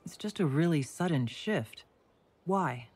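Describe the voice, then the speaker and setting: A woman playing a composed, caring female warrior hero in a video game, speaking in a measured, gently probing way. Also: low-pitched, lively intonation, husky, heavily acted. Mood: calm, concerned. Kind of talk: video game cutscene acting.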